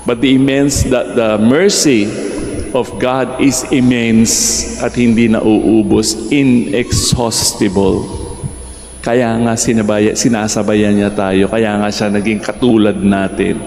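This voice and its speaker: A man's voice: lowish voice, little pitch movement, slow pace, a little mellow, a little hiss or hum. An older man with a Filipino accent preaching a homily in a large church, switching between Tagalog and English and asking his listeners rhetorical questions. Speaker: priest giving a homily. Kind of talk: sermon. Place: large church, in front of the altar. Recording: echoey church hall reverb.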